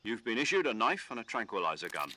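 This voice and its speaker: A calm commanding officer. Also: male voice, lowish voice, a little hiss or hum. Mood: steady. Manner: measured, instructive briefing tone. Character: calm British male commanding officer on the radio. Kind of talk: game dialogue.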